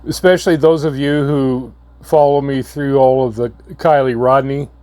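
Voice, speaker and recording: A hoarse man, coming down with a cold, giving a halting, earnest update to his viewers. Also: medium pitch, steady tone, warm tone, dry recording. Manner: halting, repeats words, slow and earnest. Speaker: channel host. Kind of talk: casual vlog update.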